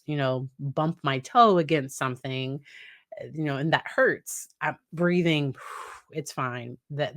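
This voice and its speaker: A woman in her thirties with a naturally deep, low voice talks like a psychologist explaining things to parents. Her delivery is conversational and easy. The sound is dry and close. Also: no echo. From United States. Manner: conversational, warm laughs, acts out quoted dialogue in a higher voice. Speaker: licensed psychologist, host. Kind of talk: casual educational talk to camera.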